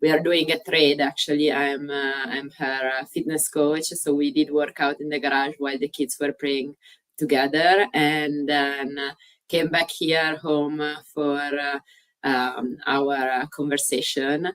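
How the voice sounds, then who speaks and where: A low-voiced woman in her thirties speaks with an Italian accent, sounding a little distant from the microphone. She tells her story as an interview guest, stopping often on "uh" and phrasing things like a non-native speaker. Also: little pitch movement, fairly bright tone. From Italy. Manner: frequent "uh" pauses, slightly non-native grammar. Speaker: guest, fitness coach. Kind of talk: casual interview chat.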